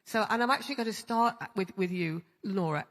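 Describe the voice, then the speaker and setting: Chatty woman moderator in a reverberant hall. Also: mid-range voice. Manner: chatty, halting, many restarts. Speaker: panel moderator. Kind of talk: conference moderation. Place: large conference hall.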